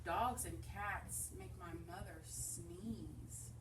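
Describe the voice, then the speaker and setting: A woman's voice: on the low side, extremely slow, pitched below the performer's own voice. A woman voicing an earnest young girl in a storybook who is protective of her pet. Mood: earnest, sad. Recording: roomy, distant mic, faint noise.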